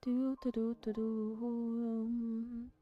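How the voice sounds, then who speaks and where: A woman's voice: fairly high pitch, flat delivery, drawn-out words, dull audio. A woman with an Italian accent, chatting casually on a live art stream, reading chat aloud and trailing off with fillers. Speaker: artist streamer.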